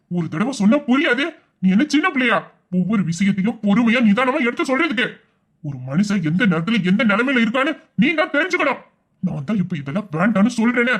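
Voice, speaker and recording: A man playing a furious, wounded young lover. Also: high-pitched. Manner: scolding, exasperated, shouting at times. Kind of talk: audio drama acting.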